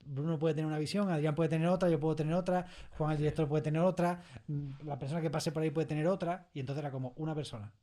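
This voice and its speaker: A man with an accent from Spain and a slightly high voice talks in a casual interview. He is animated and emphatic, often repeating phrases and voicing little quotes as he explains. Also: fast, few pauses. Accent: Spanish from Spain. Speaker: audio series creator being interviewed. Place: recording studio.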